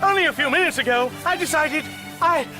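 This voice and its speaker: A man's voice: extremely high pitch, a little fast, over music. A man acting a bewildered, half-mad, sardonic castaway in a radio comedy, dithering and querulous. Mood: exasperated, breathless. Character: bewildered, half-mad, sardonic stranded Englishman in a dressing gown.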